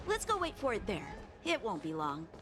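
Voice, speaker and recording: A woman voicing an eager, cheerful young boy in a video game, brisk and excitable. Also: mid-range voice, music in the background. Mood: excited, proud. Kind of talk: English-dubbed video game acting.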